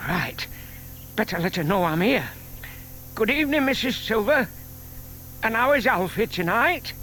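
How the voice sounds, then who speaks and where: An actor playing a shy, kindly older British gentleman with a secret scheme, polite and given to muttering, in an audio drama. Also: high-pitched, big pitch swings, measured pace, exaggerated voice. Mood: polite, coy. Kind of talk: audio theatre acting. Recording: faint noise, 1990s tape dramatisation.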